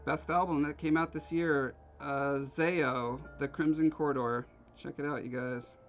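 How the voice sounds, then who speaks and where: A man's voice: a little high, steady tone, measured pace, dull audio, faint music behind. A man from Alaska hosting a livestream, chatting casually and joking with his viewers while he carves. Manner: rambling, joking with live chat. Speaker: livestream host. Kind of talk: casual chat while carving.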